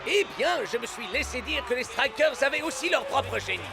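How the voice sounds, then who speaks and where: A cartoon match commentator with a very high, excitable voice. He calls the game dramatically and leans hard on his words, over a clear music bed. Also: a little fast, a crowd in the background, full character voice. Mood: excited. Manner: dramatic, emphatic delivery. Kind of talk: live sports commentary. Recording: music under the voice.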